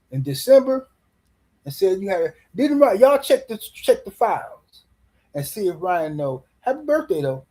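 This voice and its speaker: A man's voice: sits high, a little mellow. A man hosting a boxing livestream in casual commentary, loose and teasing, rambling and repeating words as he reads chat messages. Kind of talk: casual live-stream commentary.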